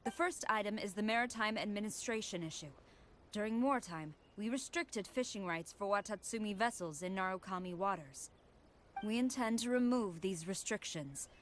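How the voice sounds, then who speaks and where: A woman playing a stern, formal military commander in a video game, speaking with measured authority. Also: mid-range voice, lively intonation, slight background noise, natural voice. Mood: stern, businesslike. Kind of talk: scripted video game dialogue.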